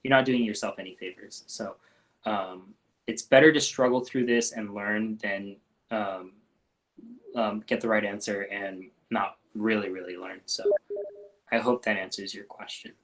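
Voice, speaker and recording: A man with a somewhat low voice answers questions on a casual live stream. He talks in a relaxed, loose way, full of ums and restarts. His sentences often fade off softly at the end. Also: unhurried, rough recording. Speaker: doctor hosting a live Q&A.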